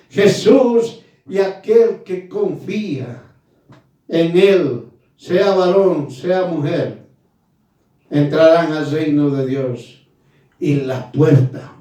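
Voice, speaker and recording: A man's voice: fairly high pitch, warm tone. A man preaching a fervent evangelical sermon, stern and admonishing, in short, emphatic phrases with repeated exhortations. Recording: very echoey room, distant from mic.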